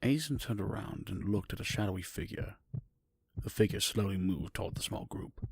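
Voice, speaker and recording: A man's voice: lowish voice, moderate pace, gravelly, husky, no room sound. A man narrating a scripted fantasy story as its narrator.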